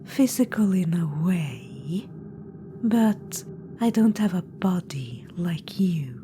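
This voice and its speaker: A woman's voice: on the low side, animated, takes it slowly. A woman whispering as a scheming witch-queen spirit. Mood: coaxing whisper. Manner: condescending, teasing, commanding. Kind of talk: ASMR audio roleplay. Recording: clear background noise.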